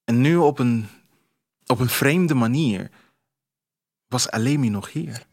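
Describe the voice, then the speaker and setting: A man narrating a scripted first-person audio drama in a measured, reflective storytelling manner. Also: medium pitch, lively intonation, darkish tone. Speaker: author reading own story. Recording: music under the voice. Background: music bed.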